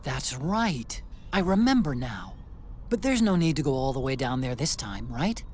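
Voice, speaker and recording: An actor playing an earnest, excitable, chatty tech sidekick in a video game. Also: male voice, mid-range voice, normal speed, slight background noise, close to an everyday voice. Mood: businesslike. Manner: chatty, animated, teasing. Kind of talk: video game cutscene acting.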